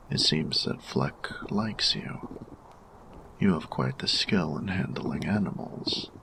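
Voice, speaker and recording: A man playing a grumpy, reserved steampunk artificer in an audio roleplay, speaking formally and deliberately in a gravelly, breathy murmur. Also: a bass voice, noisy background, full character voice. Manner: formal, measured, deliberate phrasing. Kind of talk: scripted audio roleplay addressed to the listener.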